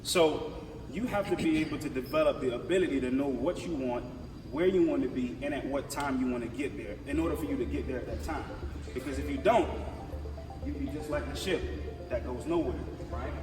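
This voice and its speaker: Energetic man from Jamaica in an echoey hall. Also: medium pitch, a little fast. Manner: energetic, casual storytelling, checks in with audience. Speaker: motivational speaker. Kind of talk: conference talk with call-and-response. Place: large conference hall. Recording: echoey hall recorded from far off with music.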